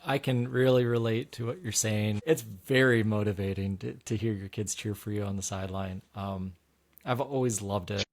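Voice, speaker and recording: A man hosting a soccer podcast, asking his guest measured, inviting questions. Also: lowish voice. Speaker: podcast host. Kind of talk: podcast interview.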